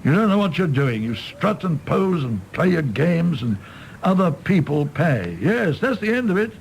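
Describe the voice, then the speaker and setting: A man acting a tipsy, bitter, apologetic middle-aged British doctor in a radio drama, rambling and halting in a gravelly voice. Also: on the high side, big pitch swings, slight background noise, low-quality recording. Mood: bitter, ranting. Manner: rambling, halting, swinging from ranting to meek.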